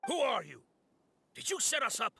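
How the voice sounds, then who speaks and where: A stern male guard captain in a fantasy game. His voice is very high, sharp and gravelly. He barks orders and shouts. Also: wildly swooping pitch, moderate pace, slight background noise, full character voice. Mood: shouting alarmed.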